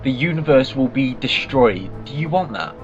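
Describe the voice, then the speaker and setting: A man plays an eccentric, commanding time traveller in an audio drama, with a mid-pitched voice and an earnest, urgent, excitable delivery. The recording sounds slightly dull and lo-fi. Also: normal speed, faint music behind, natural voice. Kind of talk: fan audio drama acting.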